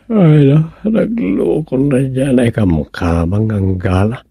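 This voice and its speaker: Gravelly, weary Ilocano village chairman. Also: medium pitch, slow, mellow, full character voice. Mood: exasperated. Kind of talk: radio drama comedy acting.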